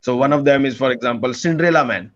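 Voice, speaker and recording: A man's voice: mid-range voice, quick pace, lo-fi sound. A young man from India, a PhD student chatting as a podcast guest in an earnest, enthusiastic way, often restarting his sentences. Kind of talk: casual conversational interview.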